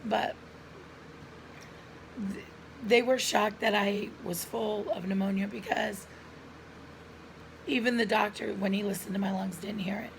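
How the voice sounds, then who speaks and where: A middle-aged woman vlogger talks straight to her viewers in an earnest, chatty way. Her voice is hoarse and gravelly with a lot of vocal fry, and she keeps breaking off to cough. She is recorded at home on a camera mic, with faint room ambience behind her. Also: medium pitch, steady tone, a little slow, long pauses, a little hiss or hum, poor audio. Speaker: lifestyle vlogger. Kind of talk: casual personal storytelling to camera.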